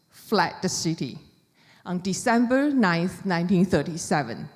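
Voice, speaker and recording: A woman from China with a Chinese accent gives a prepared public talk as an author, speaking deliberately in short, measured phrases. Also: on the low side. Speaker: author giving a book talk. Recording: reverberant hall with long echo.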